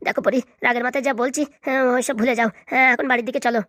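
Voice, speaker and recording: Woman voicing a high, hurried, meek cartoon husband. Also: fairly even intonation, fairly bright tone, dry recording, studio quality, close to an everyday voice. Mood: pleading. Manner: hesitant, placating, stammering. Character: meek, pleading young student husband, henpecked son-in-law. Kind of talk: comic cartoon drama dialogue.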